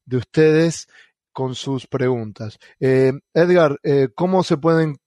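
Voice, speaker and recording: Argentine man, podcast co-host, mid-pitched voice, dry close sound, halting with fillers. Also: warm tone, dry recording. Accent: Argentine Spanish. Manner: hesitant, many fillers and restarts. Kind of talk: casual podcast chat.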